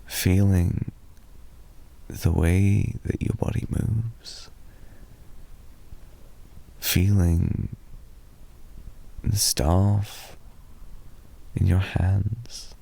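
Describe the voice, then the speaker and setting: A British man softly rambling in an improvised ASMR boyfriend roleplay, telling a drowsy, meandering story to lull a listener to sleep. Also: low-pitched, steady tone, creaky, breathy edge. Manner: soft, slow, drowsy storytelling.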